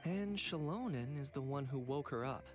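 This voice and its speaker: A man voicing a composed, businesslike hired professional in a video game, measured and formal. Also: mid-range voice, lively intonation, music in the background, lightly acted.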